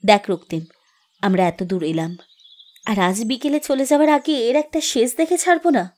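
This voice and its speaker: An actress playing a determined young woman investigating a village mystery in a horror audio drama, insistent and expressive. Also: fairly high pitch, moderate pace, close to an everyday voice. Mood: resolute. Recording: music bed behind the voice.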